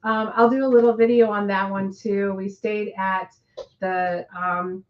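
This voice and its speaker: A woman co-hosting a casual live chat, rambling with many ums and restarts, her voice hoarse and nearly gone. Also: medium pitch, level tone, a little slow, highs cut off.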